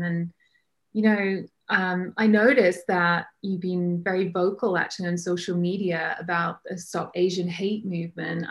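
Friendly podcast host woman. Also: on the low side. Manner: friendly, uses fillers like "you know". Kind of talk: interview.

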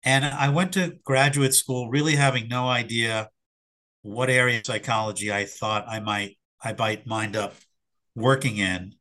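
A middle-aged man, slightly hoarse after an illness, answering interview questions in a reflective, hesitant way with fillers and restarts. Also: mid-range voice, fairly even intonation, measured pace, bright, forceful tone. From Philadelphia area. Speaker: sports psychologist guest.

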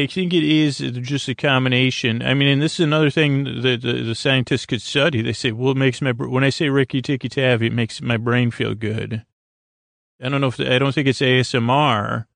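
A man hosting a sleep podcast, rambling gently and hesitantly through silly, goofy asides in a gravelly, creaky voice. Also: mid-range voice, fairly even intonation, no echo. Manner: meandering, hesitant, halting asides. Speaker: podcast host. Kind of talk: rambling bedtime monologue to help listeners sleep.

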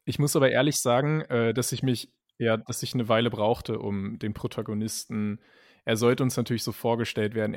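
A man's voice: lowish voice, gravelly, darkish tone, no echo, clean studio sound. A man co-hosting a podcast, talking about a series in a thoughtful, somewhat hesitant way, with a little laughter. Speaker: podcast co-host. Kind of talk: casual chat reviewing a series.

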